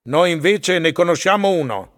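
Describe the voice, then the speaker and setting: A man's voice: a little high, expressive, moderate pace, a bit bright, dry recording, clean studio sound. A man putting scripted questions as the interviewer voice of a radio programme. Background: music.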